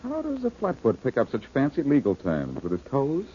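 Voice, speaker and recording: An actor playing a cool, cocky amateur sleuth with a flippant manner, in 1940s radio detective style. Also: male voice, mid-range voice, wildly swooping pitch, brisk, dark tone. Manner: flippant, wisecracking. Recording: dull old radio recording, faint noise.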